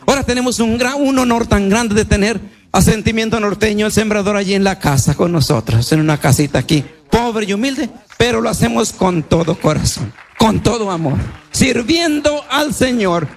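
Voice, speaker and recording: A male priest speaks in a notably high voice. He preaches a personal testimony in plain, colloquial and devout words, often repeating a phrase to stress it.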